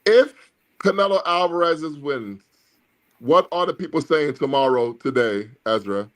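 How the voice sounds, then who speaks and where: A man's voice: fairly high pitch, animated, measured pace, rough recording. A man hosting an unscripted boxing debate, speaking emphatically and with self-assurance, repeating phrases for effect. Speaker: host of a boxing talk show.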